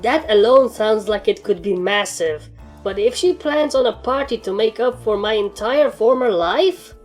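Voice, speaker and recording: A woman's voice: a little high, lively intonation, normal speed, soft background music, pitched above the performer's own voice. Woman voicing a wary, wry cartoon pony. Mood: anxious. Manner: dry, wry. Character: anxious, sarcastic pony with a wary streak.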